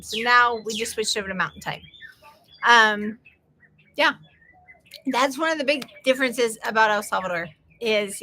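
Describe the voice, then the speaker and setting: A woman's voice: mid-range voice, sharp tone. A middle-aged American woman hosting a casual livestream chat, chatty and rambling, laughing often between asides. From United States. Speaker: livestream host.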